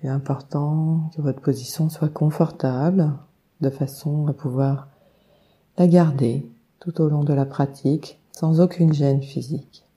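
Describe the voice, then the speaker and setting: A woman leading a guided meditation, giving calm, soothing instructions with long unhurried pauses. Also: sits low. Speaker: yoga nidra meditation guide.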